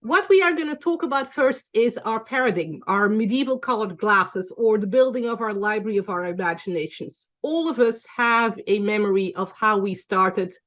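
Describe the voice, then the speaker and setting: A woman's voice: medium pitch, lively intonation, normal speed, hardly any pauses, fairly bright tone, muffled recording. A woman in her forties from Belgium, with a Belgian accent, teaching an online class in a chatty way with frequent ums and self-corrections. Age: 40s. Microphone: video call.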